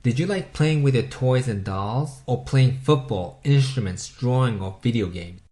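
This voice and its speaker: A man with a Japanese accent, a native Japanese speaker, narrating a scripted motivational voice-over in a measured, instructive way and putting questions to the listener. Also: medium pitch, lively intonation, warm tone. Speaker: self-help video host.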